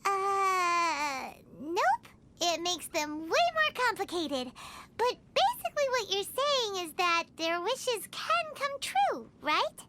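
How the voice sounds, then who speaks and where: A woman's voice: extremely high pitch, big pitch swings, takes it slowly, noisy background, full character voice. A woman voicing a tiny, childlike, curious fairy companion in a cartoonish video game style, blunt in manner. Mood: curious, puzzled. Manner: childlike, refers to self by name, blunt.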